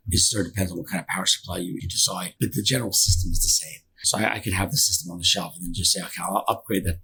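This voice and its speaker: A man being interviewed as a company director, explaining technical work conversationally, often halting and repeating words. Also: sits low, gravelly, fairly bright tone, a little hiss or hum, rough recording.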